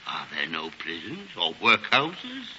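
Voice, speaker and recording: A man's voice: fairly low pitch, huge pitch swings, a little slow, pushed and bright, full character voice. An older man acting a bitter, scornful old miser who grumbles and snaps in a 1940s radio drama. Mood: cold, grumbling. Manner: grumbling, blustering, snapping. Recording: muffled, hissy 1940s radio broadcast.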